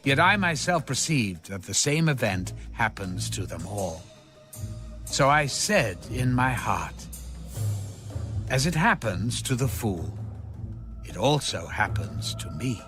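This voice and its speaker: A man giving a solemn, deliberate, dramatic audiobook reading of scripture. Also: mid-range voice, sing-song. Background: background music.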